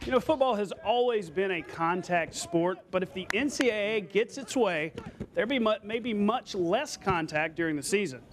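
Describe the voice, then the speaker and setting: High-pitched American male sportscaster. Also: expressive, moderate pace, over music. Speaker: sports anchor. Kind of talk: scripted local TV sportscast narration.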